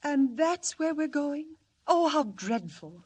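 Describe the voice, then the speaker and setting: An actress playing a gentle, devoted young mother and wife in pleading 1940s radio acting style. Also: high voice, sing-song, slow pace, exaggerated voice. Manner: pleading, theatrical delivery. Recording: noisy vintage radio recording.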